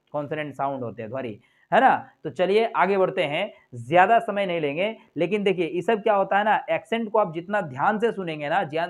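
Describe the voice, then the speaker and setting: A man speaks slowly in a slightly raised voice as he teaches English pronunciation. He repeats each sound and word several times and keeps checking that the listener follows along. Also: fairly high pitch. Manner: adds a coaxing tag after points.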